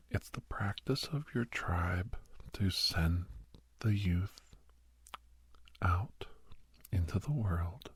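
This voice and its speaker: Man acting as gentle American doctor, ASMR roleplay, very deep pitch, breathy husky whisper, slow halting delivery, reflective. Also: dry recording, full character voice. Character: kindly soft-spoken male doctor counseling a young werewolf.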